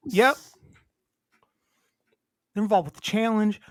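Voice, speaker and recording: A woman co-hosting a podcast, chatting casually with crude, joking banter and a high voice. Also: slow pace, dry recording, studio quality. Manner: crude, jokey banter about football. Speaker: podcast co-host. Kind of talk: casual chat.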